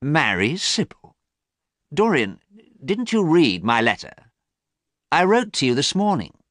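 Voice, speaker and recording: A man voicing a worldly, persuasive gentleman friend in a read-aloud story, with a theatrical, coaxing lilt. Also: medium pitch, wildly swooping pitch, measured pace, dry recording, studio quality, voice pushed up, exaggerated voice. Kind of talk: audiobook character reading.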